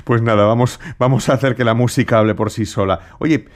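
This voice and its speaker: Radio host, man, mid-pitched, playful and joking. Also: fairly even intonation, a little fast. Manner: playful, reads listener messages. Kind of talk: radio talk show.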